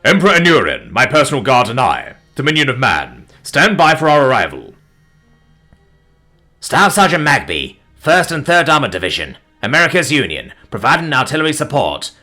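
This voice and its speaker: A man performs a military commander in an audio story, calling in a report. The voice is raised, forceful and clipped, projected hard with faint music underneath. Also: medium pitch, wildly swooping pitch, normal speed, voice pushed up, exaggerated voice. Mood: bold, commanding. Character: commanding male military officers reporting in by radio.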